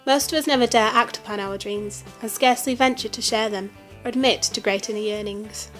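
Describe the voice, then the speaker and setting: A woman reading reflective travel prose aloud in a measured way, with soft music behind. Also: fairly high pitch. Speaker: narrator.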